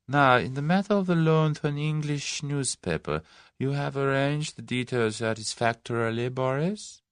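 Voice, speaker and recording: A man voicing a sinister Russian ringleader who speaks softly, slowly and sibilantly. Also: medium pitch, animated, clean tone, dry recording, clean studio sound, voice pushed up, natural voice. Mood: soft menace. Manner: soft, sibilant, slow.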